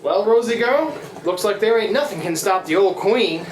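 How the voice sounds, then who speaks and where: A man's voice: sits high, lively intonation, moderate pace, slight background noise. A man acting a scruffy, good-hearted riverboat skipper in a live radio play, folksy and a little stammering, plucky and adoring. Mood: adoring, jaunty. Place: live theatre performance in a reverberant room. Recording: echoey live theatre room.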